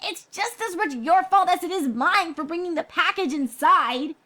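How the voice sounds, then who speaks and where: A woman's voice: extremely high pitch, lively intonation, normal speed, pushed and bright, voice pushed up, full character voice. A woman voicing a feisty, indignant young female pegasus pony in a story reading. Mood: angry.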